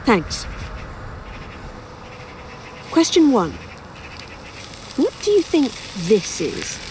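Female author role, audio drama, played by a woman: inquisitive, rambling, dryly witty, fairly high voice, slight background ambience. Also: darkish tone, slight background noise, natural voice. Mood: wry, hesitant. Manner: hesitant, wry, musing.

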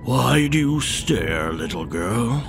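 Slow, sinister man-eating stallion. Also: medium pitch, big pitch swings, gravelly, soft background music, full character voice. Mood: calm menace. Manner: slow, deliberate, taunting. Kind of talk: horror audio drama acting.

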